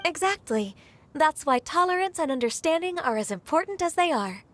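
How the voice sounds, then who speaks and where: A woman voicing a gentle, patient young woman with a soft, reassuring way of talking in a video game. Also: high-pitched, big pitch swings, moderate pace. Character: gentle young woman adeptus-raised secretary, kind and patient.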